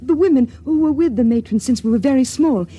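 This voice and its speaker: A woman acting in an old radio drama as a timid, fearful spaceship dweller, hesitant, breathy and emotional. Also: sits high, fast, dark tone, lightly acted. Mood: secretive. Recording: noisy old radio recording.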